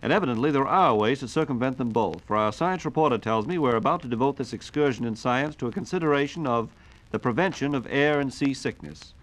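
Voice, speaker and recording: An American man announces and interviews in classic 1940s radio style. He talks quickly at a medium pitch. His manner is polished, formal and inquiring. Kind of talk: 1940s scripted radio hosting. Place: radio studio.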